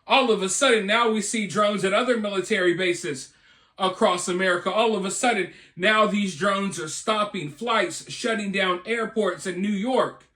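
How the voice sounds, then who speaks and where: High-voiced man giving dramatic news commentary. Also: moderate pace, bright, forceful tone, echoey room. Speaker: host of a news commentary video channel.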